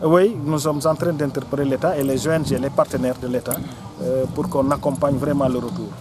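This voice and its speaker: A man with a Senegalese accent giving an interview answer as a local organiser, speaking haltingly and repeating words as he searches for phrasing. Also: mid-range voice, steady tone, background noise. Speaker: local official or forum organiser.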